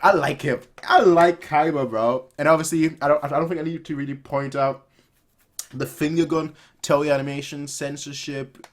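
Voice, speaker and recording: A man in his twenties giving casual, off-the-cuff reaction commentary in an animated, rambling way, repeating phrases for emphasis. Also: on the high side, normal speed. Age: 20s. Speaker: reaction video host. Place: small room at home, indoors.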